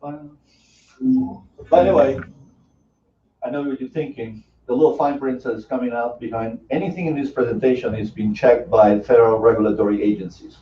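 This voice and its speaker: A man's voice: medium pitch, moderate pace, slight background noise. A man from Argentina with an Argentine Spanish accent, a native Spanish speaker, giving a casual, chatty presentation with lots of fillers and restarts. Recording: distant, dull sound in an echoey room.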